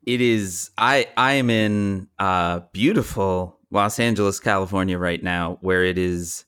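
A man co-hosting a podcast with a deep, just-woken, talked-out voice, telling rambling, self-deprecating comic anecdotes casually. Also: fairly even intonation, unhurried, a bit bright, clean tone. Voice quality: deeper than his usual, morning voice. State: just woke, throat tired from talking. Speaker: podcast co-host.

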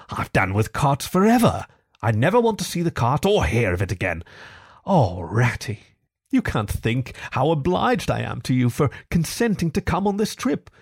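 A man's voice: medium pitch, vocal fry, slightly rough, no room sound, studio quality, pitched above the performer's own voice, exaggerated voice. Storybook toad, male reader acting: obsessed and blissful, rhapsodic murmur, lingering delivery, wide melodic swings. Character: dreamy, besotted male toad in raptures of obsession. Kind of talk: storybook character reading.

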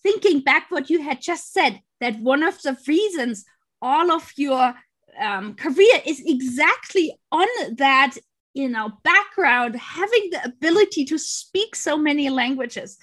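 A woman hosts an interview with a high voice and a slow pace. She sounds enthusiastic and fills her speech with "you know". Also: lively intonation, fairly bright tone. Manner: enthusiastic, filler-heavy. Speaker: interviewer, host. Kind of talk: conversational interview.

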